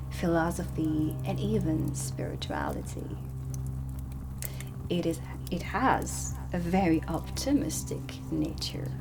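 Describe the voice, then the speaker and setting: A woman giving a soft-spoken ASMR roleplay lesson as a witch teacher, explaining in a measured way and dropping into whispers. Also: lowish voice. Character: stern female dark witch teaching at a magic academy. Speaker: ASMR performer playing a teacher. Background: ambient music.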